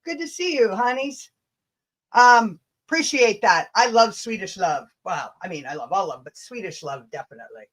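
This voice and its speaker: A woman's voice: medium pitch, lively intonation, normal speed. A Canadian woman hosting a casual, rambling livestream, sarcastic and sweary, drawing out words for emphasis. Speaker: livestream host. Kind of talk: casual, rambling livestream chat with mocking asides.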